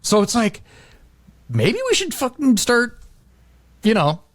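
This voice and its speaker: A man's voice: very high voice, huge pitch swings, slow pace. A middle-aged man hosting a podcast in a casual, slangy, chatty way. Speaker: podcast host.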